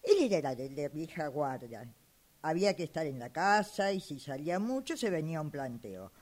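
A woman's voice: low-pitched, very animated, normal speed, darkish tone. A woman playing an exasperated, insistent elderly widow in an amateur radio drama, complaining in a flustered, dramatic way. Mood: weary, indignant. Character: exasperated elderly widow fed up with her phone.

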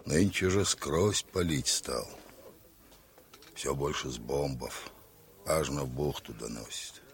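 An actor playing a weary wounded common soldier who speaks in rustic peasant speech, in a 1970s radio play. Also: male voice, rumbling low, slow pace, full character voice. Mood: grim.

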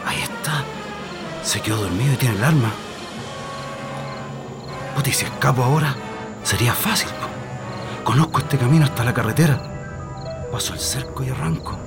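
A nervous Chilean prisoner, played by a man, speaks in a creaky, middle-pitched voice. He is submissive and hesitant, muttering to himself in radio drama style, with music clearly behind him. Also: normal speed, long pauses, close to an everyday voice. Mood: hushed, torn. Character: anxious, submissive male political prisoner, blindfolded, longing for freedom.

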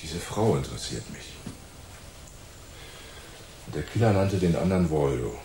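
A hard-boiled cop in a radio drama, in a low, dark voice that swings widely in pitch. He is brusque and condescending, heard in an echoing room. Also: expressive, a little slow, creaky, exaggerated voice. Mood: dismissive, scornful. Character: gruff, scornful, hard-boiled male police inspector. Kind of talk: hardboiled radio play dialogue. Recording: roomy echo with faint ambient noise.

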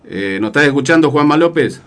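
An Argentine man with an Argentine accent hosting a boxing radio show, asking leading questions in a halting way with many fillers. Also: on the high side, lively intonation, a bit bright. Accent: Argentine Spanish. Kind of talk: radio interview.

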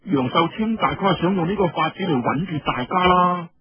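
A man's voice: sits high, expressive, fairly quick, slightly rough, no echo, pitched above the performer's own voice, heavily acted. A man with a Cantonese accent voicing a spirited, coy teenage girl who talks in an eager, gushing way, in a storybook telling. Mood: explaining. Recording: dull lo-fi radio recording.

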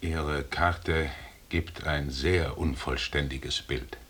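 An actor playing a stern, authoritative British expedition leader who speaks curtly and lectures in a German radio drama. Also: male voice, very deep voice, steady tone, slow, a bit bright, heavily acted. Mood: brisk, firm.

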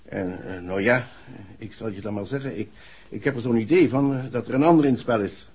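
An actor playing a proud, uneasy husband, husky, formal and emphatic, in a 1960s radio play. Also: male voice, mid-range voice, a little fast, darkish tone, natural voice. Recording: dull old radio recording.

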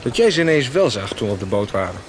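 A man's voice: on the low side, sing-song, quick pace, noisy background. An actor playing an uncertain, nagging male sidekick to a villain in a radio soap. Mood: doubtful. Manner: nagging, questioning.

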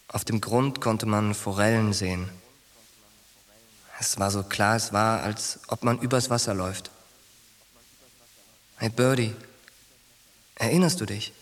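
An actor playing a brash, cocky young soldier in a German radio drama, coarse and pushy with his boyhood friend. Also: fairly low pitch.

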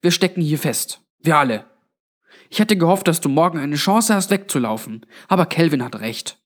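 A man voicing a kindly, anxious old grandmother with a hushed, pleading manner in a narrated story. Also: a little high, lively intonation, moderate pace, pitched above the performer's own voice. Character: kindly but anxious old granny, undead innkeeper.

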